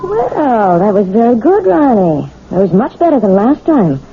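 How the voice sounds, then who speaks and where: An actress playing a gentle, evasive married piano teacher in a radio drama, chiding yet flustered. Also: female voice, a little high, very animated, normal speed. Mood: kindly, chiding. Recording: phone-like, hissy old radio recording.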